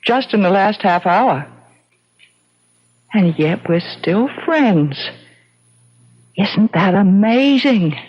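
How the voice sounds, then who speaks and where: An actress playing a wry, forgiving, reflective woman in a 1970s radio drama, speaking deliberately. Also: medium pitch, expressive. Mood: reflective, firm. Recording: dull, lo-fi old radio recording.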